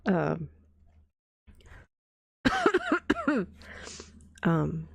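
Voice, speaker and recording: A woman streamer with a cold chats softly and rambles, her voice hoarse and scratchy, giggling and coughing often. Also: huge pitch swings, drawn-out words, dry recording, clean studio sound. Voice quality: deep, scratchy voice. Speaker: vtuber streamer. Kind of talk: soft-spoken casual stream chat.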